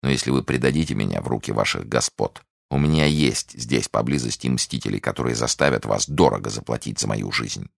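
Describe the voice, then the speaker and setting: A man's voice: fairly quick, gravelly, few pauses, no room sound, clean studio sound, full character voice. Milady, a man doing her shrieking in despair. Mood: hushed bribing. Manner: shrill pleading and screaming. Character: treacherous young noblewoman, cornered and desperate.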